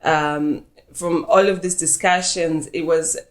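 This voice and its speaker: A woman's voice: sits low, steady tone, slow pace. Young Zimbabwean woman, thoughtful and expansive. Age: young adult. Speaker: interview guest, poet. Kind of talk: conversational interview.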